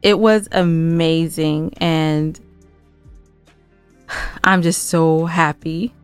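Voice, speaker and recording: A woman's voice: low voice, fairly even intonation, drawn-out words, clean tone, soft background music. A woman co-hosting a casual recap podcast, gushing and repeating herself for emphasis. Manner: effusive, gushing, emphatic repetition. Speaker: podcast co-host and scriptwriter.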